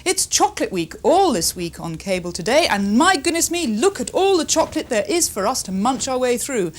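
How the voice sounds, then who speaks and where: Lively, higher-pitched British woman presenter. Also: brisk, slight background noise. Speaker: television news magazine presenter. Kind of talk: scripted upbeat programme introduction.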